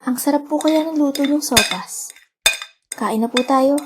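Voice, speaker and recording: Woman acting gentle teenage girl, caring, polite, earnest, high voice, radio play. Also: unhurried, noisy background. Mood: soothing. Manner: soothing, earnest, politely respectful. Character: gentle, caring, studious teenage twin sister. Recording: music behind the voice.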